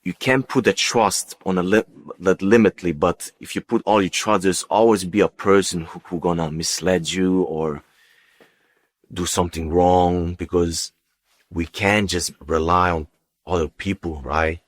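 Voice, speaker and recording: Very deep-voiced man giving testimony. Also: fairly even intonation, measured pace. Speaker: guest giving a personal testimony. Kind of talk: unscripted personal testimony.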